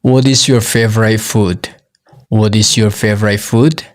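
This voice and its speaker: A native Mizo-speaking man with a Mizo accent runs an English lesson at an unhurried pace. His voice sits fairly low. He says each practice phrase twice, so learners can follow and repeat. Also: steady tone. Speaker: English teacher.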